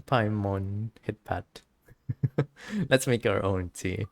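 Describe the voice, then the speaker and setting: A woman's voice: a bass voice, extremely slow, a little mellow. A woman doing casual, chatty, giggly gameplay commentary as a game streamer. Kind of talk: casual gameplay commentary, reading dialogue aloud.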